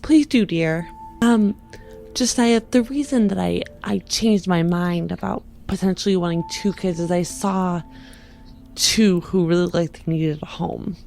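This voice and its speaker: A woman playing a polite, compassionate wife who hopes to adopt, gentle and hesitant, in a tabletop game. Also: on the low side, measured pace, vocal fry, faint music behind. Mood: hushed, apologetic.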